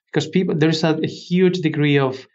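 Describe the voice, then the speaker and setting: A man's voice: on the high side, fairly even intonation, a little fast, a little mellow, dull audio. A man speaking as an expert interview guest, explaining conversationally and posing questions that he then answers.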